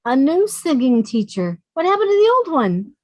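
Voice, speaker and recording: A woman's voice: high voice, very animated, moderate pace, darkish tone, dry recording. A woman playing a sensible, exasperated young working woman with a sarcastic edge in a 1950s-style radio comedy. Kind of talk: 1950s radio sitcom acting.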